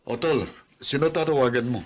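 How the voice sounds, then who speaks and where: A man's voice: medium pitch, brisk, lightly acted. An actor playing a casual, friendly male coworker in a Filipino radio drama.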